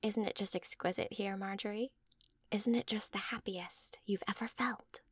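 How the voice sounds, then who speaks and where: A woman voicing a devoted, eager-to-please mother in a story, gushing and persuasive, with breathy emphasis. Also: medium pitch, steady tone, moderate pace, dry recording, studio quality, close to an everyday voice. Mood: intense, rapturous. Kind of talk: scripted story dialogue.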